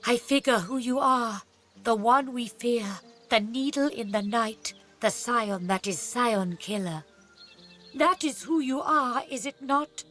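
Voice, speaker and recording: A woman playing a blind elf seer in a fantasy game, speaking gravely and haltingly in archaic phrasing. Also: on the high side, a bit bright, soft background music. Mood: accusing. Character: solemn blind female elf seer, accusing and reverent. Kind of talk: fantasy video game acting.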